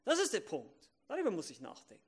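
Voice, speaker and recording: A man preaching a sermon to a congregation, repeating key phrases, asking questions and swinging from loud emphasis to quiet asides. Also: high-pitched, huge pitch swings, quick pace, breathy edge.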